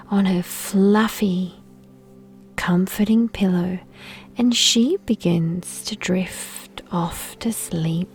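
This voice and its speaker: A woman speaking in a soft, breathy half-whisper, soothing and gentle, guiding children through a sleep meditation and bedtime story with lulling pauses. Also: medium pitch. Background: soft background music.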